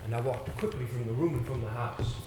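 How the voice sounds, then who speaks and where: An echoey stage recording with some background noise carries a man acting a haunted, earnest gentleman. He tells his ghostly tale in deliberate, theatrical phrases that halt and repeat. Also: medium pitch, close to an everyday voice. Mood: agitated, haunted. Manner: deliberate, dramatic phrasing with halting repetitions. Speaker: stage actor. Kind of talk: theatrical stage monologue and dialogue.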